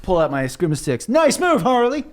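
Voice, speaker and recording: A man's voice: sits high, huge pitch swings, a little fast. A man chatting casually as a player in an improvised tabletop game.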